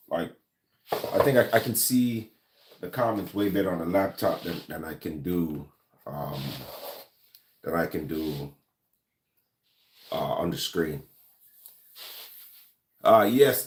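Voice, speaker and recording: A man's voice: sits low, echoey room. A man from Jamaica with a Jamaican accent, hosting a casual livestream, greeting viewers by name with many ums. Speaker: host of a gun-talk livestream. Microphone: laptop or camera mic.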